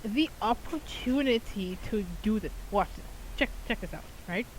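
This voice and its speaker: A woman's voice: mid-range voice, lively intonation, moderate pace, rough recording. A woman giving casual gameplay commentary in a rambling, conversational way. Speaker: gaming content creator. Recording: faint hiss.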